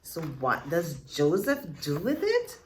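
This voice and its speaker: Woman storyteller, lowish voice, hugely varied intonation, teacherly children's read-aloud, faint background noise. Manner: expressive, teacherly, with dramatic pauses and emphasis. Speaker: storyteller reading a picture book to children. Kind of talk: read-aloud storytime with questions to young listeners.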